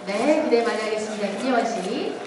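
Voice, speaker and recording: A woman's voice: on the high side, fairly quick. A woman hosting a press conference, moderating questions for a panel. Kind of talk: event moderation. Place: large event hall, indoors. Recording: echoey hall from far off, noisy.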